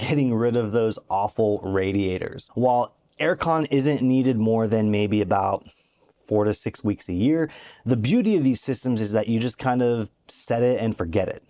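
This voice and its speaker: A man's voice: mid-range voice, mellow. A middle-aged man from Oklahoma with an American accent, narrating a vlog in a matter-of-fact way with dry, wry asides. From Oklahoma, USA. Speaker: vlogger.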